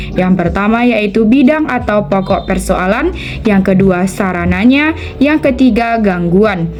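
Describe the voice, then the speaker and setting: A young woman reads her class presentation from notes, walking through each point in a steady, even voice. Her pitch sits in an ordinary middle range. Soft background music plays faintly behind her. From Indonesia. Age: young adult. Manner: steady reading from notes, listing points one by one. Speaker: university student presenting. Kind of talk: read-aloud class presentation.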